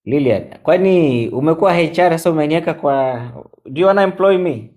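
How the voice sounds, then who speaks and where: A man in his forties, chatting on a live stream as a guest, halting with many fillers and switching into Swahili. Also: on the high side, animated, a little mellow. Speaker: guest mentor. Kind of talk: casual live-stream chat.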